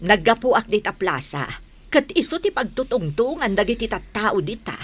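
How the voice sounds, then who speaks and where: A Filipino woman playing a gossipy, disapproving older village mother in a radio drama, with a husky voice. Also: medium pitch, very animated, normal speed.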